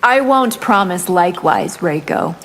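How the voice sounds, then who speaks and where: A woman's voice: fairly low pitch, expressive, a little slow, heavy background noise. An actress playing a haughty, menacing winged vampire woman in a fighting video game. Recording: loud music behind the voice.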